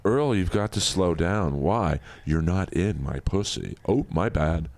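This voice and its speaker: A man's voice: low voice, lively intonation, a little slow, noisy background. A man in casual comedy podcast banter, telling stories in a crude way. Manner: crude storytelling.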